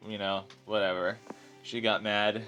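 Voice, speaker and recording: A young man in his twenties chatting casually over a game he is playing. His voice sits low, and he rambles in a self-deprecating way. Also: level tone, a little slow, bright, forceful tone, faint music behind, low-quality recording. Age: 20s. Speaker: let's play host. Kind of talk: casual gameplay commentary.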